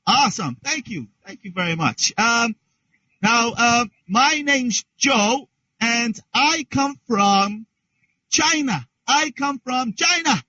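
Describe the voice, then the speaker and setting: A man teaching an online English class to young learners, speaking slowly and with exaggerated, encouraging prompts over a video call. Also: very high voice, animated, sharp tone, muffled recording.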